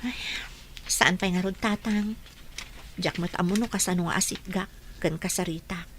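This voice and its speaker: An actress playing a caring, anxious elder sister and dutiful daughter in a radio melodrama. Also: female voice, lowish voice, steady tone, normal speed, fairly bright tone, slight background noise. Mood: subdued, troubled. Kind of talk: melodramatic radio drama acting.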